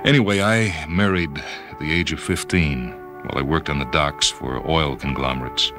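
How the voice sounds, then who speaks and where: A man reading a first-person story dramatically, in a brooding, measured manner. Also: very deep voice. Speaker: story reader. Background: background music.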